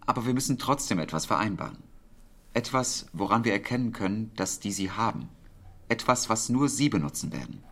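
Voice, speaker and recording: An actor playing an earnest, wry British wartime code instructor in a radio play, speaking in a measured, deliberate way with pauses. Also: male voice, fairly low pitch, lively intonation, a bit bright. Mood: calm, serious.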